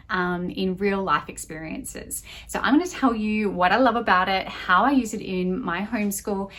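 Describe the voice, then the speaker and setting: A woman's voice: mid-range voice, a bit bright. A woman in her thirties talking casually to camera as a channel host, giving a review in a small room at home. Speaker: homeschool channel host reviewing a language curriculum.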